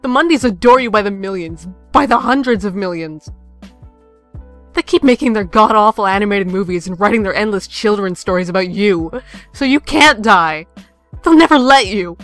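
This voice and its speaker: A woman's voice: mid-range voice, animated, moderate pace, fairly bright tone, soft background music, lightly acted. A woman voicing a bitter, sarcastic estranged sister from fairy tales, biting and dramatic, in a comic dub. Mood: angry, anguished.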